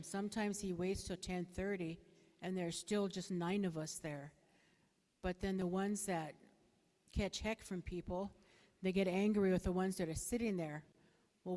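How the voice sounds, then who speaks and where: Middle-aged female candidate at a community forum, soft lowish voice, halting and plain-spoken, reverberant hall. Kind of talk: unscripted candidate forum answer. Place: bingo hall, public forum over a PA. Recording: echoey hall with long reverb.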